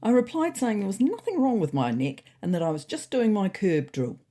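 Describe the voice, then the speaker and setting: A woman's voice: medium pitch, big pitch swings, fairly quick, warm tone, voice pushed up. A woman voicing a fussy, anxious male cat who narrates his comic diary in a prim, wordy, faintly indignant way.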